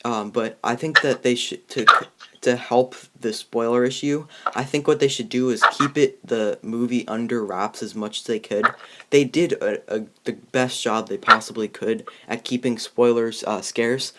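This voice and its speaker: A teenage boy in a casual movie discussion, talking in a halting, rambling way. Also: medium pitch, fairly even intonation, pushed and bright, slight background noise. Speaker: movie review host. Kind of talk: casual chat.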